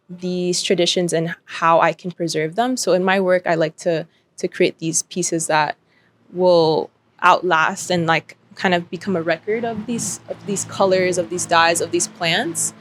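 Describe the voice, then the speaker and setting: A woman artist on a panel, speaking thoughtfully with many pauses and fillers. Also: lowish voice, steady tone, slight background noise. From Dominican and Peruvian family. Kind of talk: panel discussion.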